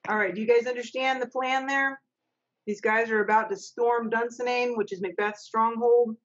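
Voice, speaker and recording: A woman's voice: a little high, fairly even intonation, moderate pace. Muffled call audio, middle-aged woman, informal stage manager, plain instructive delivery, reading directions. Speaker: stage manager and narrator of a readers' theater. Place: small home office. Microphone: video call, computer microphone. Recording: dull, lo-fi video call audio.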